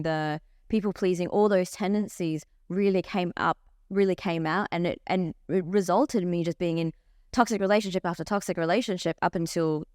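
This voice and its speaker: A woman's voice: on the low side, clean tone, no echo, studio quality. A young woman, a coach telling her story openly in a podcast interview. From Australia. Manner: open, animated storytelling. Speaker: life coach guest.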